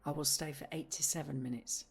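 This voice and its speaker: A woman playing a blunt, literal, restless adult woman in a naturalistic audio drama, with a husky voice and an insistent manner. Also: low-pitched, fairly even intonation, fairly quick, faint music behind, exaggerated voice. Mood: blunt, fussy.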